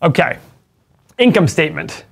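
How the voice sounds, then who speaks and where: Higher-voiced male stock analyst explaining. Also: sing-song, moderate pace, vocal fry, breathy edge. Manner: explains step by step, asks rhetorical questions. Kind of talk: casual finance chat.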